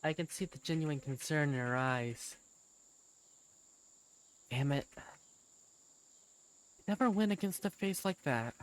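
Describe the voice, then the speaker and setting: Woman narrating a story, animated pitch. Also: slow pace, long pauses, rough recording. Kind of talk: scripted first-person story narration.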